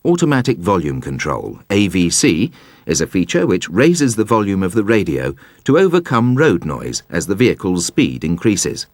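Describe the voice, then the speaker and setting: A man with a very deep voice narrates a scripted instructional video. His pitch rises and falls in a lively way. He sounds measured and precise, and the recording is dry and close. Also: no echo. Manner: measured, precise, explanatory delivery.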